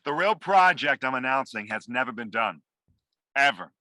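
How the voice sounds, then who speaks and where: Bright, wildly expressive washed-up Hollywood director, played by a man. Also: mid-range voice, moderate pace, no room sound. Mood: bluffing showman. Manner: profane, theatrical, needy. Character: washed-up, blustering, desperate male Hollywood movie director. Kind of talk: acted screenplay table read.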